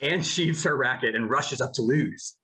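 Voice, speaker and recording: A narrator reading scripted stage directions for an animated cartoon table read. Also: mid-range voice, moderate pace.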